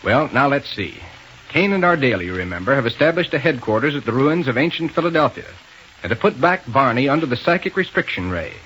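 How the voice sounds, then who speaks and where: A man's voice: medium pitch, very animated, fast, bright, forceful tone. An American man announcing an old radio serial and its sponsor with an enthusiastic, emphatic sales delivery. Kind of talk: 1930s radio announcing and ad reading. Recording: muffled, hissy old radio recording.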